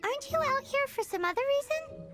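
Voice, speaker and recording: A tiny, childlike fairy sidekick for a video game. The voice is squeaky and extremely high, swinging with lively excitement and cheeky indignation. Loud music plays behind it. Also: female voice, a little fast. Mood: curious. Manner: exclamatory, refers to herself in the third person. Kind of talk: English video game voice acting.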